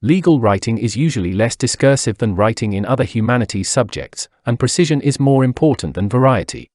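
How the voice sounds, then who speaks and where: A man reading a scripted passage aloud in a formal, measured manner. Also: mid-range voice, animated, a little mellow, no echo, studio quality. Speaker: read-aloud model reader.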